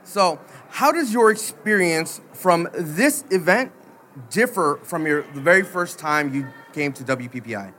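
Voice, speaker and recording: A chatty man hosts a podcast interview live from a busy convention floor, with a slightly high voice. He talks in an easygoing way and laughs often. Faint crowd noise sits behind him. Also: lively intonation, measured pace, pushed and bright. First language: English. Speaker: podcast host. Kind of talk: casual interview.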